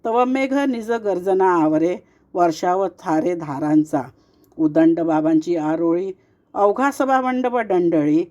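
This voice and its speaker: A woman reads devotional verse aloud at a slow, unhurried pace. Her voice is mellow and fairly low, and she keeps to a steady, sing-song rhythm from couplet to couplet.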